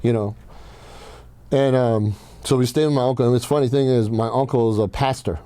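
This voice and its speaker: A man hosts a casual podcast with a dark, relaxed tone in a very dry acoustic. He is from Iran and tells personal stories bluntly, swearing freely. His pitch sits in an ordinary male range. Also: brisk, clean tone, no room sound. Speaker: podcast host.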